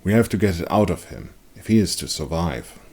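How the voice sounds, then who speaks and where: A man voicing a grim veteran monster hunter in a dark fantasy story, speaking gravely and honestly. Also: low-pitched, expressive. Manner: grave, hushed, deliberate.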